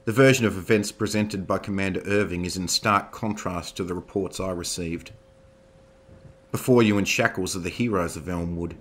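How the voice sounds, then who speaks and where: A man's voice: sits low, moderate pace, breathy edge. A man voicing a composed male commander arguing on the prisoners' behalf. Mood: persuasive.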